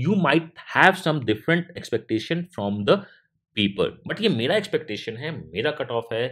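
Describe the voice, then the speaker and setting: Animated man teaching. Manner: switches between Hindi and English mid-sentence; emphatic, jumps in pitch on key words. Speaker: exam prep teacher. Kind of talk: analysis talk to students.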